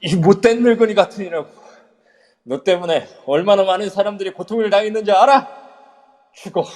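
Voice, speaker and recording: A man's voice: high-pitched, brisk, a little mellow, natural voice. Man playing an earnest young hero. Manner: earnest, heated. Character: determined young male hero. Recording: long reverb.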